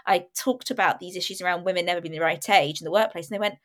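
A woman hosting an interview, with a loud and lively way of talking. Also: fairly low pitch, rapid-fire. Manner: loud, lively, asks long questions. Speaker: interview host. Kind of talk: conversational interview.

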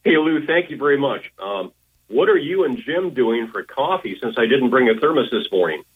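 A man's voice: medium pitch, expressive, moderate pace, slightly rough, phone-quality sound. A man hosting a radio talk show from a hotel room, chatting casually with lots of fillers. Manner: friendly, rambling with fillers.

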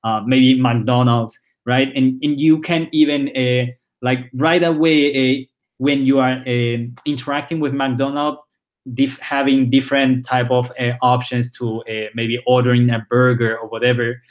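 A man in his twenties who grew up in China speaks English with a Spanish accent, his first language being Chinese. His delivery is loose and halting, full of restarts and fillers, at a middling pitch for a man. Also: steady tone. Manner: halting, with frequent repeated words and "uh" fillers. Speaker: startup founder and CEO, guest. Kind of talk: casual interview conversation.

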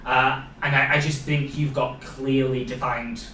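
A man's voice: mid-range voice, little pitch movement, unhurried, bright, forceful tone. A young Welsh man hosts a casual interview, speaking in an encouraging, reflective way with plenty of "like" and "you know". He sits some distance from the mic in a roomy space, with a faint hum of noise behind him. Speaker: podcast host interviewing a young athlete.

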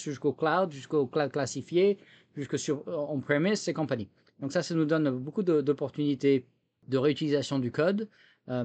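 Man, slightly high voice, French accent with faint American tinge, interview guest, halting and repetitive phrasing. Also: a little mellow. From France. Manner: hesitant word-searching with repeated words. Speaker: technology entrepreneur and former government official, interview guest. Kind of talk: explanatory interview answers.

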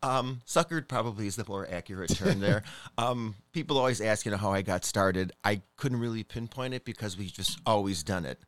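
A man's voice: on the low side, fairly quick, a bit bright. A man being interviewed as a guest, self-deprecating and laughing easily.

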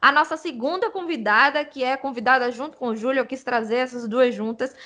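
Young woman hosting a podcast, high voice, lively chatty slang. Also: a little fast. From Brazil. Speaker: podcast host. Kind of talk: podcast introduction.

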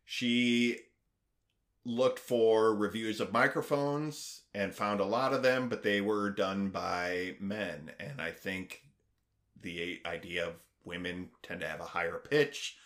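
A man co-hosting a podcast in casual chat, halting with fillers and restarts, then growing eager. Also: fairly low pitch, a bit bright. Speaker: podcast co-host.

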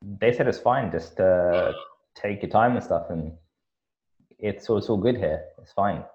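A man with a very low voice talks through a podcast conversation, stopping and restarting often. He fills his gaps with ums and repeats words as he goes. The sound is dull and lo-fi. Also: darkish tone. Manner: halting, with many fillers and repetitions. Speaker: podcast guest. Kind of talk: casual conversation.

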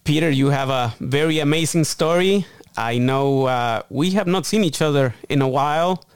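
A man hosting a faith talk radio show, soft-spoken and reflective. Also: a little high, moderate pace, smooth, no room sound. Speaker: radio host.

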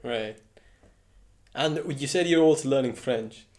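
Young male interviewer, medium pitch, curious, conversational, explains what he is asking. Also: unhurried, a little mellow.

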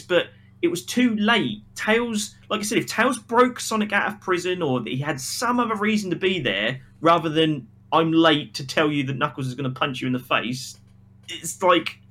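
A man co-hosting a podcast, giving an opinionated, casual film review in an emphatic way, acting out mock quotes as he argues. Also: high voice. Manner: emphatic, animated arguing with acted-out mock quotes.

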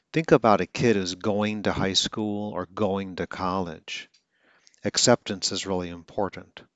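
A man's voice: deep voice, a bit bright, no echo. A man from Atlanta, Georgia, speaking as a speech coach in a casual, earnest way, with occasional stutters and self-corrections. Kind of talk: casual talk.

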